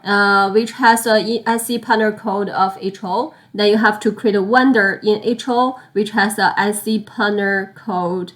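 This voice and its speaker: A woman teaching software in an unscripted screen-share tutorial, explaining step by step, often repeating and rephrasing herself. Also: medium pitch, steady tone. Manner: halting, repeats and rephrases phrases as she explains. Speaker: software instructor.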